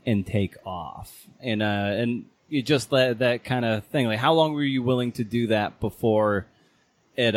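A man's voice: on the low side, no echo. A man hosting a casual podcast interview, feeling his way into questions and rephrasing them as he goes.

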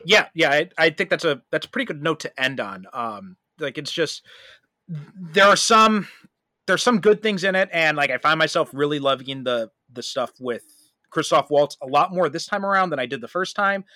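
A man with a slightly high, bright and forward voice hosts a casual podcast. He talks conversationally, as in a discussion with a guest. The recording is dry. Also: animated, normal speed, no room sound. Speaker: podcast host.

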